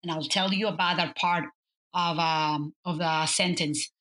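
A woman in her fifties from Mexico, with a Mexican Spanish accent, speaking as an interview guest in a warm, storytelling way with many fillers and restarts. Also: deep voice, fairly even intonation, unhurried. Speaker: guest, business consultant. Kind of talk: conversational interview.

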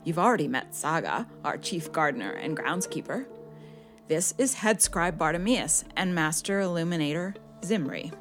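A woman playing a wise, dignified badger abbess who speaks with formal, measured authority in a children's audio drama. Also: low voice, lively intonation, soft background music. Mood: dignified, grave.

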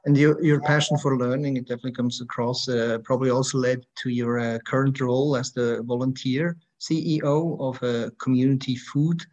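A man's voice: medium pitch, fairly even intonation, a little fast, hardly any pauses, darkish tone, dull audio. A man interviewing a guest, asking long, friendly questions with fillers.

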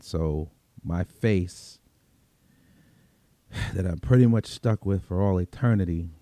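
Guy acting out a brooklyn vampire telling his story, world-weary and streetwise, super dark mellow voice, dry close sound. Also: deep voice, dry recording. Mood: matter-of-fact. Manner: streetwise, conversational, brooding. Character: world-weary vigilante vampire from Brooklyn, looks twenty-six. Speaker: story reader. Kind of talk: first-person scripted story narration.

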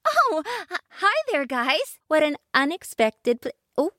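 Woman doing a high sweet little pig girl. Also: big pitch swings, unhurried, pushed and bright, no room sound, studio quality, exaggerated voice. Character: clever young female pig, polite and sweet.

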